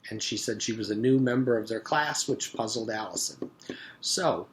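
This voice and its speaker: A man's voice: fairly low pitch, expressive, normal speed, slight background noise, poor audio. A man narrating a children's story aloud, like a teacher reading to pupils.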